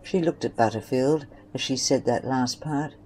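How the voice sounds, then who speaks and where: A woman's voice: very deep voice, normal speed, mellow, a little hiss or hum, low-quality recording. A woman reading scripted narration for an audio drama story.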